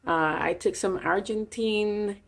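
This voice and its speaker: A woman's voice: medium pitch, takes it slowly, creaky. A woman in her fifties from Puerto Rico, with a Puerto Rican Spanish accent and Spanish as her first language, chatting casually to her channel's viewers with frequent fillers and easy laughs. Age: 50s. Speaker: channel host. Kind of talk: casual chat to camera.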